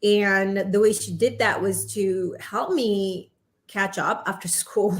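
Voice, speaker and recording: A woman in her forties from Indonesia, a podcast guest chatting casually and laughing often. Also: mid-range voice. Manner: animated, laughs often, quick asides. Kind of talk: casual interview chat.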